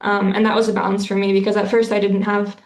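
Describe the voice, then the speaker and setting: A woman talks through her writing process in a flat, level voice with a gravelly fry. She pauses often and fills the gaps with um and like. The room around her sounds echoey. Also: medium pitch. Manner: thoughtful, many fillers and restarts. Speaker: interviewed author. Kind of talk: casual interview answers.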